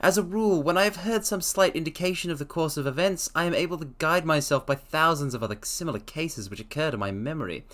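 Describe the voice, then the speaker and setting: A man's voice: a little high, fast talker, few pauses, a bit bright, close to an everyday voice. A man voicing a confident male Victorian detective who speaks in a precise, lecturing way. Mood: assured.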